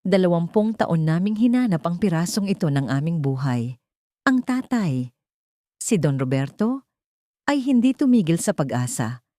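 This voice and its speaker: A woman voicing a wealthy young man who speaks calmly and firmly to protect his sister. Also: on the low side, normal speed, dry recording, studio quality. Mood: resolute. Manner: calm, commanding, gentle toward his sister.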